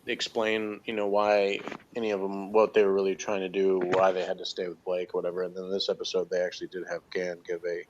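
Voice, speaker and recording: A man's voice: low voice, completely flat delivery, fairly quick, hardly any pauses, a little hiss or hum. A man giving his opinions in a casual review chat, informal and hesitant, often interrupting himself, on a lo-fi recording. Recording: dull, lo-fi voice recording.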